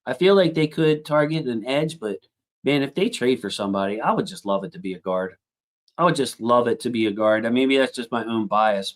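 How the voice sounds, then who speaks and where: A man hosting a casual football livestream, reading out viewer comments and thinking aloud with lots of fillers, and his voice has a slightly squeaky edge. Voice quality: self-described squeaky voice. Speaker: football channel host reading live chat.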